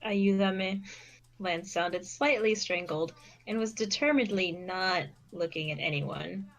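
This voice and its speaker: Woman, fairly low voice, story narration, scripted read, occasional re-read phrase. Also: measured pace, fairly bright tone. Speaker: fan fiction reader and narrator.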